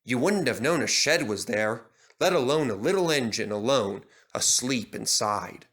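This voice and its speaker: Male narrator, children's storybook, bright forceful tone, animated pitch, expressive scripted reading. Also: moderate pace.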